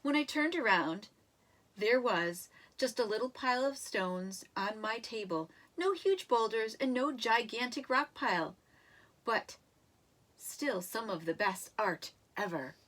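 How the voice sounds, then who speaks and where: A woman reading a children's story aloud in an expressive, animated way, with dramatic emphasis and drawn-out words. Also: fairly high pitch. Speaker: storybook reader.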